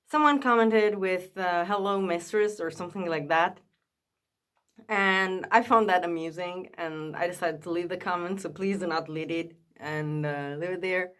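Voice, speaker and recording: Woman, chatty and low. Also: a bit bright. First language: Italian. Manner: chatty, frequent fillers and restarts. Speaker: vlogger. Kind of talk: casual talk to camera. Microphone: camera held up high.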